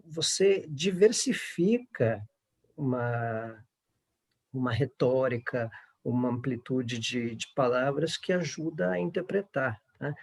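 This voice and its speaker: A man's voice: mid-range voice, expressive, slow, vocal fry, darkish tone, husky. A middle-aged Brazilian man speaking informally in a panel discussion, in a discursive way that often seeks agreement.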